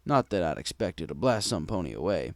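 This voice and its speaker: Animated male storyteller, wry and conversational. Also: deep voice, a little fast, no echo, clean studio sound. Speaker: fan fiction reader. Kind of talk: first-person scripted story narration.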